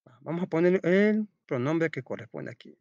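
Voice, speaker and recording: A man's voice: on the high side, sing-song, moderate pace, a little mellow, dry recording, lo-fi sound. A man reading a children's school lesson aloud like a teacher, step by step, posing questions and answering them himself. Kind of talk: read-aloud storytelling and step-by-step lesson narration.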